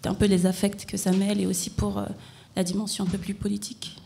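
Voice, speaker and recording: A woman's voice: medium pitch, completely flat delivery, fast talker, creaky, dark tone, a little hiss or hum. A woman from France, an artist answering interview questions in a reflective, conversational way.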